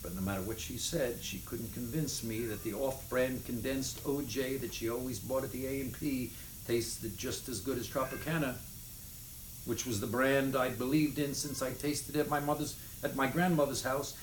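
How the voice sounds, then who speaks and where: An echoey room with faint noise surrounds a man reading memoir prose aloud. His voice sits at a medium pitch, and he delivers the lines with deliberate emphasis, stressing names and items as a storyteller would. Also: fairly even intonation. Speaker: reader of a memoir chapter. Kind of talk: expressive live reading of humorous memoir prose. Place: indoors in a city apartment.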